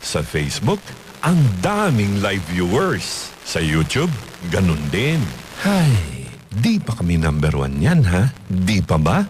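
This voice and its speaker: Very animated male radio promo voice. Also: sits low, slow pace, slight background noise. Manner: playful, conversational. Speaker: radio station promo announcer. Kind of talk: scripted playful radio promo. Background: music bed.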